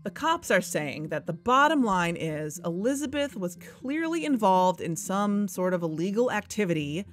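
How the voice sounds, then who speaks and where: A woman playing a wry, earnest investigator who co-hosts a podcast, narrating in a conversational, confiding way. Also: lowish voice. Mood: serious, frustrated. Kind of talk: conversational scripted audio drama narration. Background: background music.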